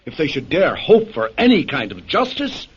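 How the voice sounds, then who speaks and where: A man's voice: on the high side, wildly swooping pitch, moderate pace, slightly rough, full character voice. A man playing a weary lord who crusades for justice in a radio drama, impassioned and bitterly sardonic, on a dull vintage recording. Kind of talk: golden-age radio drama acting.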